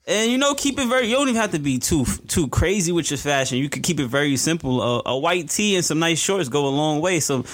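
A man's voice: a little high, lively intonation, fast talker, few pauses, a bit bright. A young man co-hosting a podcast, laid-back and laughing along. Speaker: podcast co-host. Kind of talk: casual chat.

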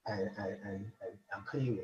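A man's voice: fairly low pitch, fairly even intonation, a little mellow. A man, a guest poet, chatting casually on a podcast and often stumbling over and repeating his words. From Barbados. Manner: halting, stammers and repeats words. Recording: roomy, dull lo-fi sound.

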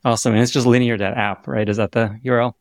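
A man's voice: mid-range voice, steady tone, quick pace, no room sound, studio quality. A man hosting an interview podcast, upbeat and enthusiastic as he asks questions and wraps up. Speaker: podcast host.

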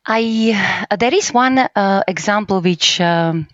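A woman's voice: medium pitch, slow pace, no room sound, muffled recording. A middle-aged woman from Slovakia with a Slovak accent, speaking English as a guest in a podcast interview with many fillers and tag questions. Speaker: business services team leader, guest.

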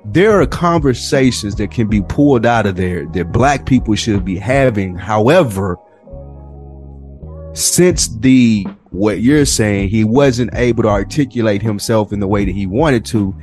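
A man with an American accent and a fairly low voice holds forth in a casual podcast discussion. He is long-winded and emphatic, often repeating a phrase for weight. Music plays behind him. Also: animated, a little slow, darkish tone. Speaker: podcast co-host.

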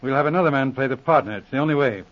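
An actor playing a confident, authoritative Mountie sergeant in a 1940s radio drama. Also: male voice, medium pitch, lightly acted. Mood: assured. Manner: authoritative, brisk, assured. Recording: muffled old radio recording with hiss.

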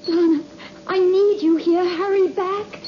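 An actress playing an anxious, haunted astronaut's wife in an old-time radio drama, speaking in a fraught, halting, dramatic way. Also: female voice, extremely high pitch, steady tone. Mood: anxious, pleading. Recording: dull, noisy old radio recording.

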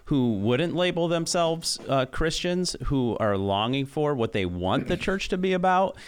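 A man co-hosting a conversation, responding in a measured, affirming way. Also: a little high, lively intonation, smooth. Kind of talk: conversational talk.

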